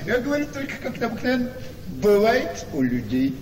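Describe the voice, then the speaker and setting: An actor playing a cynical, mocking gentleman in a radio play, with a deliberate, sardonic, theatrical delivery. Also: very high voice, very animated. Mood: mocking, worldly. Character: cynical, mocking gentleman needling a friend's young wife. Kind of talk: Russian classic radio play acting. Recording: reverberant hall-like studio, faint noise.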